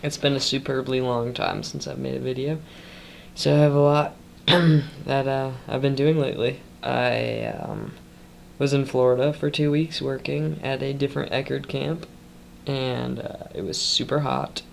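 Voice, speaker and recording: A man's voice: medium pitch, steady tone, unhurried, creaky, slight background noise. A young man giving a casual, rambling vlog update, stuffed up from a cold and tired after a long shift, talking loosely with lots of ums and asides. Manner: loose and chatty, full of ums and trailing asides. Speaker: vlogger.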